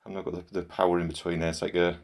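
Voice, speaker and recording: A middle-aged man with a croaky voice from a cold, chatting casually in a home vlog as he works and comments on it. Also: low-pitched, flat delivery, rapid-fire. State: hoarse from a cold. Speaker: gaming channel host.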